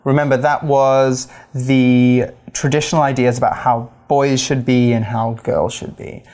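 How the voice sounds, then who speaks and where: A man speaks as the co-host of an English lesson. His style is conversational and explanatory, pitched as an informal chat with a partner and learners. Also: little pitch movement, measured pace, darkish tone.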